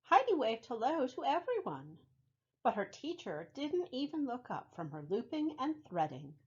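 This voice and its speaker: A woman reads a children's story with very lively intonation and a husky edge to her voice. She phrases things deliberately and expressively for little listeners. The audio is a bit dull. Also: high voice. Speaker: library storytime reader. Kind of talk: children's picture-book read-aloud.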